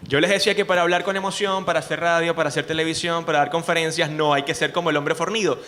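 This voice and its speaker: Bright-voiced Venezuelan man coaching students. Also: a little high, fast. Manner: animated, asks frequent rhetorical questions. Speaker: journalist and speaking coach, guest. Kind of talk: lively talk to students.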